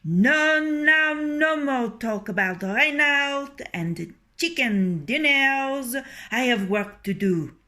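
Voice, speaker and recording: A woman voicing a proud, bossy male rooster in a storybook reading, loud and scornful. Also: high-pitched, animated, takes it slowly, voice pushed up, heavily acted. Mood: pompous.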